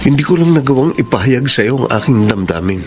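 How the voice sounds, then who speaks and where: Actor as an earnest lovestruck man, expressive swings. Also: medium pitch, quick pace, noisy background, lightly acted. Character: earnest young male teacher, devoted, secretly lovestruck friend. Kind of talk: Filipino radio drama acting.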